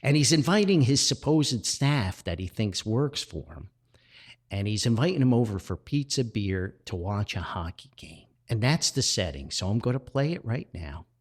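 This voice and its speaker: A man hosting a personal podcast, talking reflectively and earnestly with drawn-out emphasis. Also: on the low side, very animated. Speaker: podcast host.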